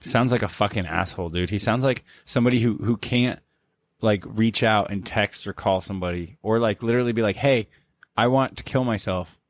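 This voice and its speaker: A man's voice: on the low side, a little fast, dry recording. A man co-hosting a podcast in casual banter, laid-back and profane, with lots of filler words. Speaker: podcast co-host.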